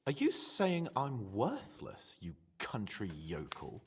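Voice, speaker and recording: An actor playing a brash soldier comrade who teases with mock insults. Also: male voice, lowish voice, wildly swooping pitch, slow, slightly rough, heavily acted. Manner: mock-insulting, then jokes it off.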